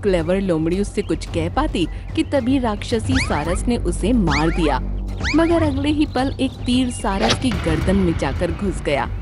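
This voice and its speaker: A woman narrates a children's cartoon tale with very lively, swooping intonation. Her voice sits at a middling pitch, with music clearly playing behind it. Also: a little slow. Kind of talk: scripted dramatic children's story narration.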